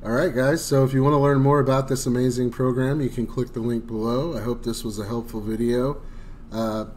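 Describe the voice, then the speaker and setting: A man hosting a casual screen-recorded software tutorial, talking loosely with lots of fillers and enthusiastic asides. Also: medium pitch, flat delivery, fairly quick. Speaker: tutorial host.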